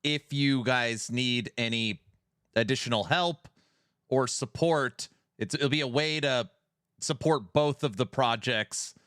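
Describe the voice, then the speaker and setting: Male streamer, 40s, chatty, bright crisp voice, dry sound. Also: medium pitch, slow, dry recording. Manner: chatty, reads viewer messages aloud. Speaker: streamer host. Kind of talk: casual live-stream chat.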